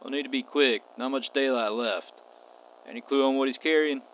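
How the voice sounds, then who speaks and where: A man's voice: mid-range voice, steady tone, fairly quick, close to an everyday voice. Man as a laconic cowboy drifter, tinny audio. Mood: calm, cautious. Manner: laconic, polite cowboy drawl. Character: courteous, wry, unflappable male wandering space cowboy. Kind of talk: radio play space western acting. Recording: tinny band-limited audio with clear noise.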